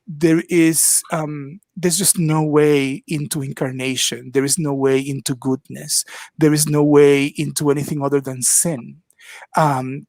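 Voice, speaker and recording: An older man speaks slowly and reflectively, often pausing with "um". He talks into a headset on a video call from his kitchen. The sound is dry and close, with no room echo. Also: fairly high pitch. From Dominican Republic. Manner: thoughtful, frequent ums, measured. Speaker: panelist, minister of worship arts. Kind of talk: reflective panel talk. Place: home kitchen.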